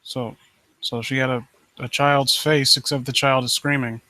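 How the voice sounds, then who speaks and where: A man casually riffing as a host who reads and mocks stories, sarcastic and quick to swear. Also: mid-range voice, flat delivery, sharp tone, no echo. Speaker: host reading and critiquing horror stories live. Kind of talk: casual riffing commentary with read-aloud passages.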